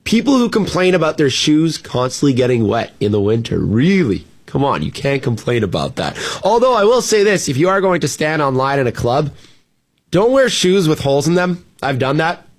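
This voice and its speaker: A man's voice: a little high, very animated, moderate pace. Man hosting casual talk radio. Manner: conversational, informal asides. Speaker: radio host. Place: radio studio. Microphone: close broadcast studio mic.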